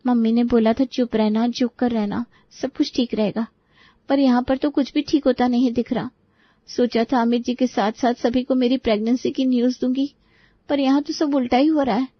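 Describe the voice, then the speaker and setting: A woman's voice: a little high, fairly even intonation, moderate pace, dry recording, muffled recording, natural voice. A woman playing a dutiful, wronged daughter-in-law in her twenties, pleading and protesting with hurt in a Hindi moral story. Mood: worried, weary.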